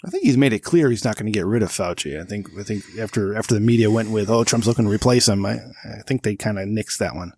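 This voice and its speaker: A man's voice: fairly low pitch, quick pace, few pauses, a little mellow. A man co-hosting a podcast in casual chat, laid-back and hedging with fillers, laughing often. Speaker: podcast co-host.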